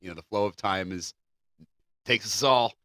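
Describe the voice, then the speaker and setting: A man's voice: fairly low pitch, moderate pace, pushed and bright, no room sound, studio quality. A man co-hosting a podcast in a casual, comedic, conversational style. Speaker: podcast co-host.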